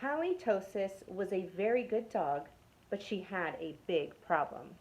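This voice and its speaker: A young woman telling a children's story from a youth club. Her reading is expressive and storybook-paced. At suspenseful moments she sinks into a hushed whisper. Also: mid-range voice, measured pace. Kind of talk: reading a picture book aloud to children.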